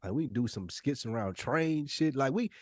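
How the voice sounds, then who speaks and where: A man with a medium-pitched voice chats casually as a podcast host. He is blunt, slangy and loose with swearing, in a dry, close recording. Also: animated, moderate pace, darkish tone, no room sound.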